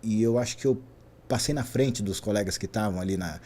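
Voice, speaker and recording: A Brazilian man in his forties, a guest in a podcast interview, telling his career story in an animated way, often tagging his sentences with a quick question. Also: fairly low pitch, slight background noise. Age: 40s. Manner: animated, frequent "né?" tags. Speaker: guest, traffic safety specialist.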